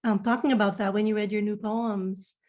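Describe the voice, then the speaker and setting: A woman poet and editor speaking in a thoughtful panel discussion, reflective and pausing mid-phrase. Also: medium pitch, level tone, darkish tone. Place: remote video call.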